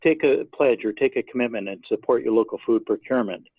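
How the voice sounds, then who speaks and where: A man's voice: medium pitch, fast talker, breathy edge, no echo. A man presenting his project and asking for support, speaking earnestly with frequent filler uhs. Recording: tinny phone-like call audio.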